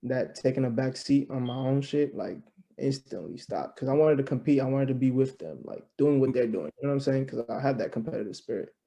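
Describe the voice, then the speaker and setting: A young man in his early twenties, a musician guest on a podcast. His voice is very dark and mellow. He talks in a loose, slangy way and keeps checking in with the listener. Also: medium pitch, expressive, fairly quick, gravelly. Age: 20s. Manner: slangy, loose, peppered with "you know what I'm saying". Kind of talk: casual interview chat.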